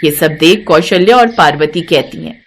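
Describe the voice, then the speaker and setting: A woman's voice: low-pitched, normal speed, a little hiss or hum. A woman storyteller giving scripted narration of a moral tale.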